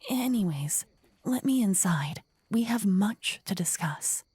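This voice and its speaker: A woman playing an indignant, blunt young necromancer rival in a fantasy ASMR roleplay, hushed and insistent. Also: medium pitch, lively intonation, slow pace, breathy edge, no room sound, full character voice. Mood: insistent, exasperated. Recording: close dry ASMR microphone.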